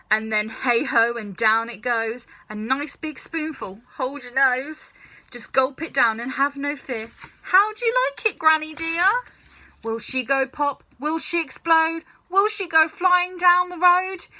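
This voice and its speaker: Woman voicing a gleeful, bright-voiced scheming little boy. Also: fairly high pitch. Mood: mischievous glee. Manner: theatrical, chanting rhymes with relish. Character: plucky, mischievous eight-year-old boy, scheming gleefully.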